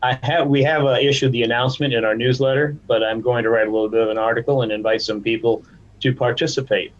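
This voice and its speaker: A man's voice: mid-range voice, fairly even intonation, quick pace, highs cut off. A man speaking conversationally as a guest executive in a podcast interview. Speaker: podcast guest, trade association chief executive.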